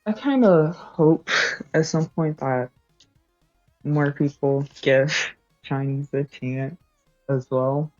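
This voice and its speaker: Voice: mid-range voice, takes it slowly, pauses often, warm tone, dry recording, muffled recording, faint music behind. A young adult streamer chatting casually while studying, rambling, correcting themselves and laughing often.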